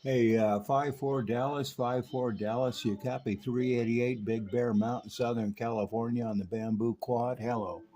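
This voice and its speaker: An older man reviewing a vintage CB radio in a relaxed, knowledgeable, folksy manner, also chatting on air as a radio operator. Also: mid-range voice, normal speed, hardly any pauses, noisy background. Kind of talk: technical review narration and on-air radio chat. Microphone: stock desk microphone on the radio.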